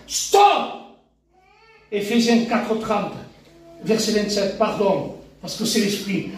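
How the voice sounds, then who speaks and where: A man preaching a sermon with emphasis, repeating phrases and swinging between loud cries and hushed asides. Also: extremely high pitch. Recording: very echoey hall, mic from far off.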